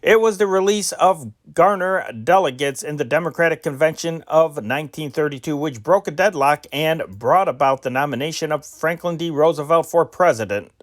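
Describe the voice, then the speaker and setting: A man reading old newspaper dispatches aloud in an unpolished, measured way, with occasional slips. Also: a little high. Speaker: news reader.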